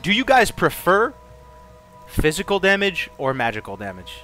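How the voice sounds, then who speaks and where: A man's voice: sits high, wildly swooping pitch, normal speed, pushed and bright, soft background music. A man co-hosting a casual gaming podcast, speaking informally with slang and putting questions to the others. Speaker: podcast co-host.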